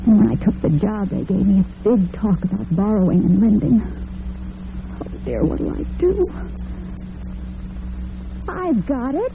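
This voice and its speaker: A woman's voice: mid-range voice, expressive, a little slow, long pauses. A young actress playing an earnest, devoted working-girl daughter with money worries in old-time radio drama. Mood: anguished. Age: young adult. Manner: earnest, affectionate. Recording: narrow-band vintage radio with slight noise.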